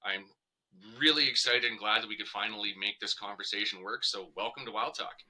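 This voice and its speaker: A man with a very bright, forceful voice works as a talk show host. He speaks as an interviewer introducing and questioning a guest, with a sharp, cutting tone. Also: fairly low pitch, fairly quick, rough recording.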